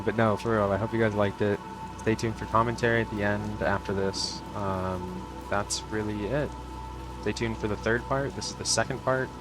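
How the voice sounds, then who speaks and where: A man's voice: on the low side, low-quality recording. A man hosting a channel, talking off the cuff in an informal, rambling, self-deprecating way. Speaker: channel host. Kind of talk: casual unscripted outro chat.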